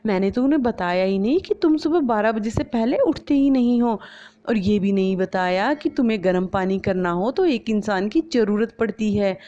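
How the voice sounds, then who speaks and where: A woman's voice: medium pitch, animated, moderate pace, dark tone, pitched above the performer's own voice, lightly acted. A woman voicing a cheeky, blunt young daughter-in-law who blurts out everything, in a storybook. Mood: innocently blunt. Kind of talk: storybook voice acting. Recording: slightly dull lo-fi recording.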